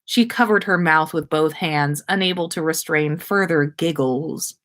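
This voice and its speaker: A woman with a fairly low voice reads a story aloud. She narrates in the manner of a podcast host sharing fiction with listeners. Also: unhurried.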